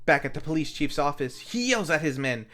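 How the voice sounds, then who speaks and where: A film review host delivers a wry, scripted recap with comedic timing. The mid-pitched voice swings widely in pitch, with music playing underneath. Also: a little fast. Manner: wry, animated storytelling with acted quotes.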